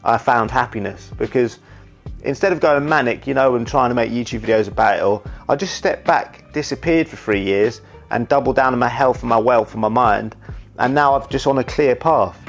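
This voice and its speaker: A man's voice: mid-range voice, moderate pace, vocal fry, soft background music. A young man talking candidly about his life, rambling and often saying "you know". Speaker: YouTuber and wedding videographer. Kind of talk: reflective casual monologue.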